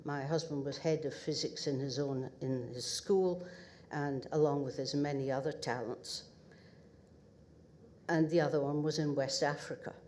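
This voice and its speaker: An older woman with a northeast Scottish accent gives a halting acceptance speech full of pauses and wry humour at an awards ceremony. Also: deep voice, steady tone, darkish tone, slight background noise. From northeast Scotland. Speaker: award recipient. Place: ceremony hall at a podium.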